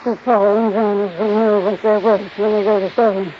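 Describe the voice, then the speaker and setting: A woman's voice: medium pitch, steady tone, normal speed, close to an everyday voice. Actress, elderly woman, weary, resigned, 1950s radio acting, dull noisy old recording. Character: weary, resigned old lady, broke and ailing.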